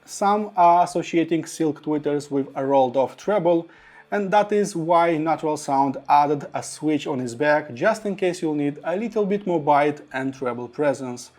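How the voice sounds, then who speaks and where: A man's voice: a little high, expressive, normal speed, darkish tone. A man reviewing audio gear to camera, speaking enthusiastically and piling up descriptive words. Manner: enthusiastic, piles up adjectives. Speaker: product reviewer.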